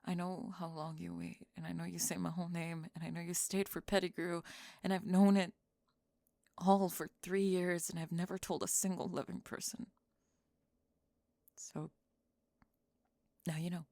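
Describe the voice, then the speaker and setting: Low, creaky defensive young goth woman, acted by a woman. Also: little pitch movement, mellow, no room sound. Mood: resigned, vulnerable. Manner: halting, breathy sighs, drops into whispers. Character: young goth bully woman, defensive, reluctantly confessing love. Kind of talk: ASMR roleplay acting.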